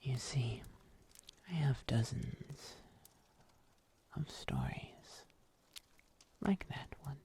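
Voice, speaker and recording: Seasoned fantasy huntsman, played by an ASMR performer: hushed breathy whisper, mellow, very unhurried storytelling. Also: mid-range voice, lively intonation. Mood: quietly proud. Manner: slow, deliberate, hushed storytelling with long pauses.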